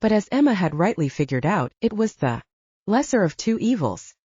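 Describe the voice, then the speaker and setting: A woman's voice: medium pitch, sing-song, normal speed, a little mellow, slightly rough, no room sound, muffled recording. A woman narrating a scripted novel as an audiobook reader.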